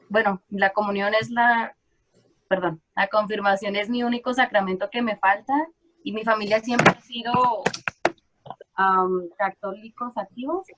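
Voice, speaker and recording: A woman speaks at a middle pitch, introducing herself politely. She talks as a participant explaining herself to a class. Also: low-quality recording.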